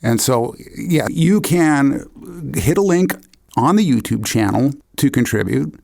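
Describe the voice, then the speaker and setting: A man's voice: medium pitch, lively intonation, unhurried, creaky, darkish tone. A man hosting a podcast in a casual, conversational style. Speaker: podcast host.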